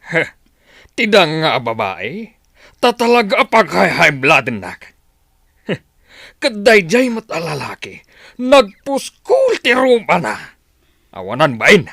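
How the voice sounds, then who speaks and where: A man's voice: a little high, huge pitch swings, slow, gravelly, long pauses, sharp tone, no room sound, studio quality, exaggerated voice. A man playing a scornful, hot-tempered middle-aged father who grumbles, in an Ilocano audio drama. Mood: angry, scornful.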